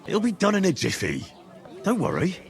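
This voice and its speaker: A man's voice: sits high, wildly swooping pitch, moderate pace, vocal fry, full character voice. A man playing a practical, plain-spoken young commoner adventurer in a video game, direct and down-to-earth. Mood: exasperated.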